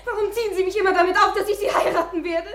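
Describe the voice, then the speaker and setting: High-strung young lady, very high-pitched. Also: steady tone, rapid-fire, fairly bright tone, echoey room. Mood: distraught, indignant. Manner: impassioned, theatrical. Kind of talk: German radio drama acting.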